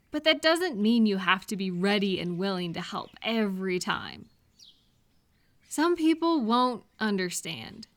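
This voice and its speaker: A woman playing a kind, caring friend in a comfort roleplay audio, speaking gently and reassuringly with soft questions. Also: mid-range voice. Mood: gently firm. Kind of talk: comfort audio roleplay, one-sided conversation.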